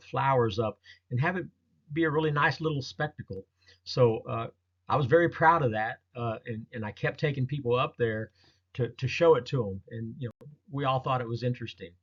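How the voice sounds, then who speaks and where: A man talking casually through a slideshow of his own experiences, in a halting, rambling, folksy way. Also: mid-range voice. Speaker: presenter recounting a field experience. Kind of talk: casual narrated slideshow storytelling. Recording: dull lo-fi audio.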